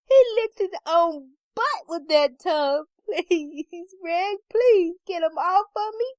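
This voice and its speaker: A woman's voice: extremely high pitch, lively intonation, takes it slowly, no echo, clean studio sound, voice pushed up, heavily acted. A woman voicing a hysterical, pleading wife who shrieks and cries out in panic as part of a story. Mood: pleading, tearful.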